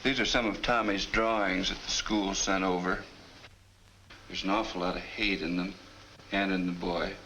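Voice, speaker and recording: A calm clinic psychiatrist in an old educational film. His voice is fairly low but crisp and forward, with patient, measured phrasing. The vintage soundtrack carries a light hiss. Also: poor audio. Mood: grave, analytical. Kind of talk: 1950 educational film acting.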